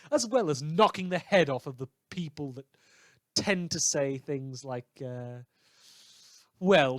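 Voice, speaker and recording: A man's voice: on the high side, no room sound. A man playing a sardonic villain who hosts a post-apocalyptic survivalist radio show, with a theatrical, sarcastic delivery. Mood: wry, scornful. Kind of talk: fiction podcast as in-character radio monologue.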